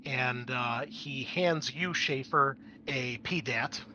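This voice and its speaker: A man narrating a tabletop game as game master in a casual, improvised way, often halting to think aloud. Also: mid-range voice, bright, forceful tone, dull audio. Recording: clear background noise.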